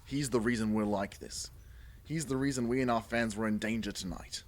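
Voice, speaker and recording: A man's voice: lowish voice, close to an everyday voice. A man playing a wary, indignant boyband singer with a suspicious manner in an audio drama. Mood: angry, distrustful. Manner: suspicious, quick to accuse.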